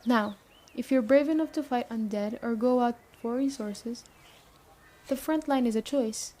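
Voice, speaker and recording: A woman playing a stern but caring survivor camp leader in an ASMR roleplay, speaking briskly and matter-of-factly straight to the listener. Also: a little high, dark tone, natural voice. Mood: businesslike, earnest. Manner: brisk, matter-of-fact, addressing the listener directly.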